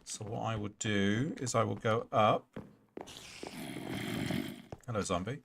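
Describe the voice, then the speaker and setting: A man hosting a gaming livestream, hoarse and clearing his throat, casually muttering to himself and chatting to game monsters. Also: lowish voice, takes it slowly, noisy background. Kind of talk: casual live gameplay commentary.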